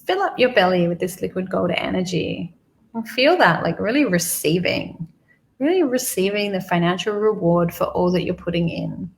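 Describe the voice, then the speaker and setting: A woman guiding a meditation slowly and soothingly, repeating phrases with pauses, in a creaky, gravelly voice. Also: lowish voice, animated. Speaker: guided meditation leader. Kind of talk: guided money meditation, spoken softly to the listener.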